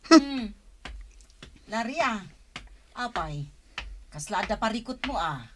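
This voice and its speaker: A woman's voice: fairly high pitch, sing-song, slow pace, slight background noise. A woman playing a chatty, nosy village food vendor in a radio drama, folksy and gossipy, with an Ilocano accent. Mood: curious. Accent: Ilocano Filipino. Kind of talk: Filipino radio drama acting.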